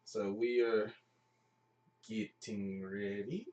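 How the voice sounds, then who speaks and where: A man's voice: lowish voice, fairly even intonation, very slow, darkish tone, far from the mic. A man hosting a live stream of radio plays, chatting casually in a joking, rambling way. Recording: echoey room, off mic, music behind.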